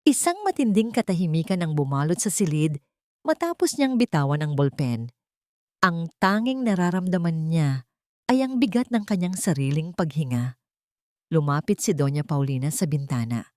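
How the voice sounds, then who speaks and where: A woman with a Filipino accent narrating a scripted dramatic story in a measured, solemn manner. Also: low voice, darkish tone, no echo, clean studio sound.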